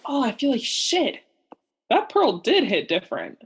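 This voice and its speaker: A woman's voice: a little high, very animated, a little slow, slight background noise. A young woman playing a naive, oblivious male oceanographer in a student radio play, earnest and melodramatic. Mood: groggy. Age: young adult.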